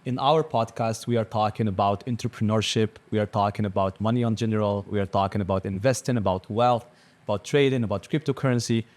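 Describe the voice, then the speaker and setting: A man's voice: lowish voice, quick pace, darkish tone. A man hosting a podcast, speaking in a casual interview style. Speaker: podcast host.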